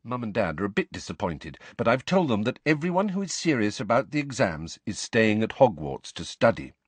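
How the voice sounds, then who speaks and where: A man voicing a brisk, bossy, clever teenage schoolgirl in an audiobook, airy and impatient in manner. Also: on the low side, big pitch swings, husky, no room sound. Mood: brisk, bright.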